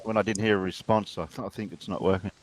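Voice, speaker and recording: A man from Australia with an Australian accent, hesitant and quiet in a group call. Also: fairly low pitch, fairly even intonation, fast, a little hiss or hum. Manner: hesitant, quiet, unsure of his mic. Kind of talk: casual group chat.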